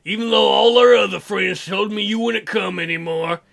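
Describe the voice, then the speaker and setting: Guy doing a hurt stubborn young dude in a visual novel, dramatic n halting, bright forceful voice. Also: high-pitched, gravelly, exaggerated voice. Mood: wounded. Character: stubborn, hurting Filipino young man, childhood friend.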